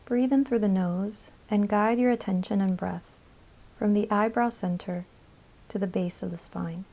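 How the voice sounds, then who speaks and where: Gravelly, mellow woman guiding meditation. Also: mid-range voice. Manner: calm, soothing, instructional, with measured phrases and pauses. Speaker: yoga nidra meditation guide. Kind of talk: guided meditation narration.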